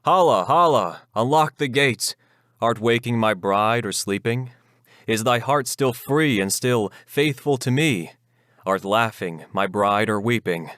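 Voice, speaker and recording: Undead bridegroom on horseback, man performing: commanding, ominous, archaic Gothic verse, dry sound, slow measured delivery. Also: mid-range voice, animated, fairly bright tone, no room sound, voice pushed up. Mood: calling, urgent. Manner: urgent, archaic, imperious speech. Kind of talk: dramatic Gothic ballad recitation.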